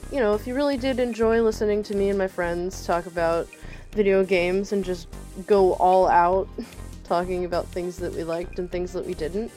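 A woman's voice: on the low side, fairly even intonation, moderate pace, warm tone, soft background music. A young adult woman hosting a podcast in a casual, conversational style. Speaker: podcast host.

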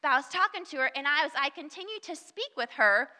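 A woman's voice: high-pitched, expressive, fast talker, bright, forceful tone, big-room sound. A young woman with a Southern US accent, preaching an earnest, conversational sermon at a church service and reading scripture. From Southern United States. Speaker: guest speaker at a church service. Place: church sanctuary.

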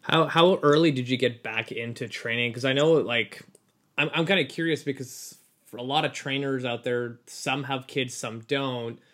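Man, podcast host, casual interview, curious relaxed questions, mid pitch. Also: moderate pace.

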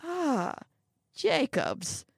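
A woman voicing a sinister, irascible old male villain in an audiobook, with a slow, grumbling, contemptuous delivery. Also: on the low side, very animated, creaky, darkish tone, dry recording, studio quality, heavily acted. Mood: commanding.

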